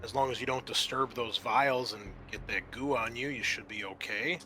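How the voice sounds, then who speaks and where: A man voicing a calculating woman scientist in a tabletop roleplaying game, speaking in a halting, hedging way. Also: mid-range voice, sharp tone, soft background music, natural voice. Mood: calculating, eager. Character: calculating woman scientist scheming over valuable samples. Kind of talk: improvised tabletop roleplay dialogue.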